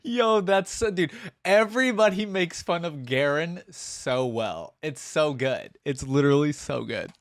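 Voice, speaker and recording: A man hosting a reaction video, giving casual, rambling gaming commentary as he thinks out loud. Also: a little high, lively intonation, unhurried, hardly any pauses, no echo, clean studio sound.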